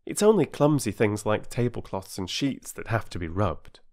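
A narrator voicing an earnest, gentle older girl with grown-up airs, in a children's storybook. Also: mid-range voice, very animated, normal speed. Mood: shocked, instructing.

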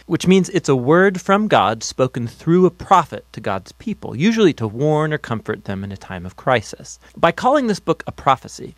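A man's voice: a little high, dry recording. A man reading scripted explainer narration in an articulate, instructive way, as a studio voice-over.

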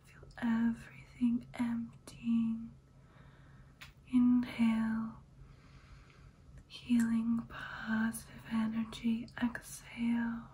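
A young woman voicing a soothing, nurturing vampire in a breathy ASMR whisper, gentle and reassuring. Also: a little high, slight background noise. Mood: calm, guiding. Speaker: ASMR roleplay host. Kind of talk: whispered ASMR personal attention roleplay.

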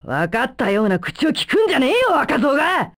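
A woman playing a gruff, vengeful, hot-tempered warrior in a Japanese fighting game, with rough, mannish, scornful speech and a husky voice. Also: high-pitched, wildly swooping pitch, brisk, no room sound, clean studio sound, exaggerated voice. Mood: defiant, angry. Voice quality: husky, raspy.

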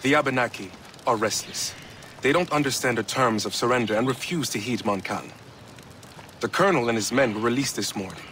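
A man's voice: mid-range voice, fairly quick, pushed and bright, slight background noise, lightly acted. An actor voicing an earnest male soldier who gives an urgent, worried report. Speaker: game character, soldier. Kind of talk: cinematic game voice acting.

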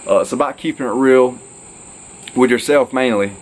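A man's voice: medium pitch, moderate pace, slight background noise. A young man talking casually to camera from an outdoor porch, rambling and upbeat with lots of fillers. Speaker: fitness vlogger. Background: crickets and insects.

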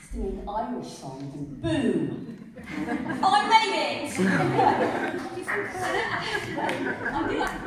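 Lively English woman storyteller, echoey hall. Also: a little high. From England. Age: middle-aged. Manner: lively, self-mocking anecdotes with laughs. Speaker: author and storyteller. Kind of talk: talk at a writers' event. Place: large glass conservatory with a seated audience. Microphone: podium mic heard from the back of the room. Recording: echoey hall from far off, noisy.

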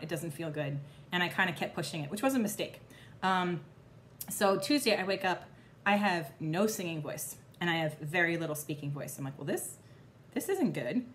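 A woman in her thirties talks casually to a phone camera in her home kitchen. Her slightly low voice is still a little hoarse and thin as it recovers. She rambles along with many fillers, and faint household ambience sits underneath. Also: a bit bright, slight background noise. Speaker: vlogger. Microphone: phone or camera at arm's length.

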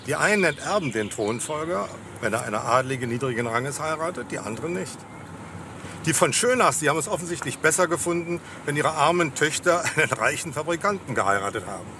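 A man's voice: a little high, animated, brisk, noisy background. A man playing a matter-of-fact police detective in a crime drama, explaining things dryly. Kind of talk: German TV crime drama acting.

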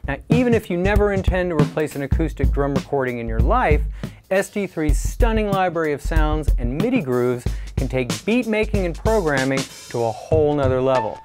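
Man, forties, slightly high voice, scripted review narration, measured presenter delivery, music in background. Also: expressive.

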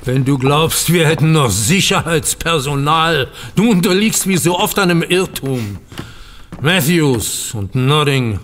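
An actor playing a bitter, dignified older bank founder in a German audio drama, speaking formally with biting sarcasm. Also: male voice, a little high, animated, measured pace, fairly bright tone, long reverb tail. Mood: scornful.

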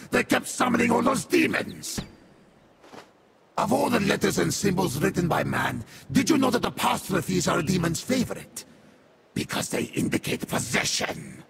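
A man playing a sadistic, vengeful infernal beast warrior in a video game, with a harsh demonic growl and theatrical, deliberate delivery. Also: high voice, wildly swooping pitch, gravelly, a bit bright, slight background noise, heavily acted. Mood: wry, gloating. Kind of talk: video game character voice lines.

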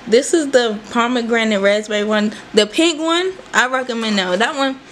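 A woman's voice: a little high, a little slow, fairly bright tone, a little hiss or hum. A young woman in her twenties talking casually and animatedly to viewers, calling them y'all, while filming a vlog in her bedroom on a handheld phone. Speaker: vlogger. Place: small bedroom, indoors. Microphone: handheld phone camera, then propped on desk.

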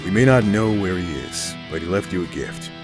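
A man playing a stoic, solemn mentor in an amateur audio drama, speaking in a grave, measured way. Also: low-pitched, close to an everyday voice. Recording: music clearly under the voice.

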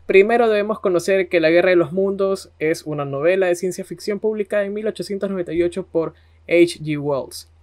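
A man's voice: high voice, a little fast, a little mellow. A man with an Ecuadorian accent hosting a podcast, narrating history in a conversational style. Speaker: podcast host.

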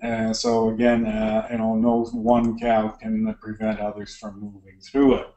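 A middle-aged man with a Canadian accent gives a practical slide lecture on barn design, relaxed and full of fillers and restarts. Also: on the low side, a little slow. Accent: Canadian English. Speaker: dairy barn design consultant. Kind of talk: lecture narration over slides. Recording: roomy echo.

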